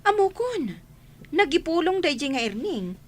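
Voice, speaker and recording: A woman's voice: very high-pitched, big pitch swings, measured pace, no echo, exaggerated voice. A woman with an Ilocano accent playing a sassy, resentful office worker in a radio comedy drama. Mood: accusing.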